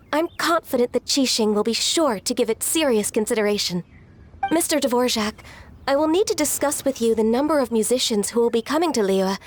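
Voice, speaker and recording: A woman voicing a polite, diligent young secretary in an anime video game, formal, gentle and measured. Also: high-pitched. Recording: game dialogue with music behind.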